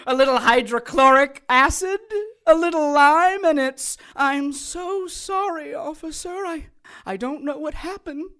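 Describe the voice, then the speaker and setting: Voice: high voice, measured pace, pushed and bright. An actor playing a sadistic sorcerer and former soldier in an audio drama, taunting and theatrical, with gleeful menace. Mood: manic mockery. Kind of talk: audio drama acting, storytelling monologue.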